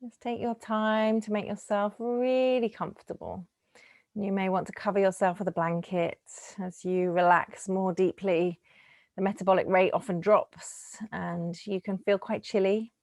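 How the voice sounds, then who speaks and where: A woman teaching yoga nidra, guiding relaxation in a gentle, soothing, unhurried manner with lingering pauses. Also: medium pitch, fairly even intonation, dry recording, studio quality. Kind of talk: guided relaxation meditation.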